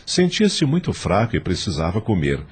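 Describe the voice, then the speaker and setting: Um homem com sotaque brasileiro narrando uma novela de áudio a partir de um texto. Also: male voice, fairly low pitch, huge pitch swings, normal speed, slightly rough. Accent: Brazilian. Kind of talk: scripted audio drama narration.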